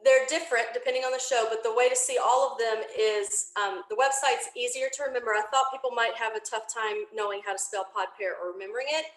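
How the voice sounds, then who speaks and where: A woman in her forties chatting casually as an interview guest, talkative and quick to laugh. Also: on the high side. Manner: chatty, animated storytelling, laughs easily. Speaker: podcast creator, interview guest. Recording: roomy, echoing room sound.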